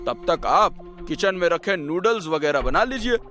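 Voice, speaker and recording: A man puts on a folksy, fast-talking-salesman type of broker for an animated story. The voice is high for a man, with an effusive, ingratiating manner, over music. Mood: excited, welcoming. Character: chatty, folksy, eager male hill-station property broker. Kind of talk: comic animated story acting.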